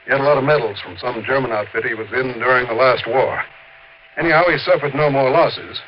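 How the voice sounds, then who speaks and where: An actor playing a worried, businesslike American insurance manager in a 1950s radio drama, talking in an earnest, explanatory, conversational way. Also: male voice, medium pitch, fairly quick, lightly acted. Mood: earnest, explaining. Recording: dull, slightly noisy 1950s radio recording.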